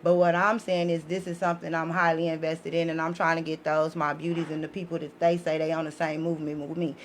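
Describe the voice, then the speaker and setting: A woman's voice: low-pitched, flat delivery, fast talker. A woman podcast guest talking in an impassioned, conversational way, blunt, emphatic and full of slang. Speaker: podcast guest, author of children's book series. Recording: noisy room ambience.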